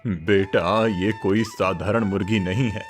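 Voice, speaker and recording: पुरुष की निभाई गहरी आवाज़ वाला दयालु फकीर. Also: male voice, on the low side, steady tone, takes it slowly, faint music behind. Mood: solemn, kindly. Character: grateful, kindly wandering male holy man.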